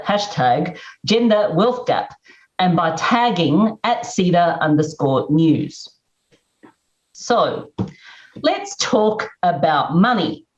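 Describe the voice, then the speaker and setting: Roomy, measured woman moderating a panel. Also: on the low side, dull audio. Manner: measured, deliberate delivery with slow emphatic phrases.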